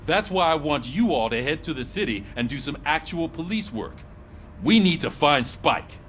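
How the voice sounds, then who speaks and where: A man playing a gruff, commanding police sergeant in a video game, giving brisk, authoritative orders. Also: on the high side, lively intonation, fairly bright tone, a little hiss or hum. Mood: businesslike, firm.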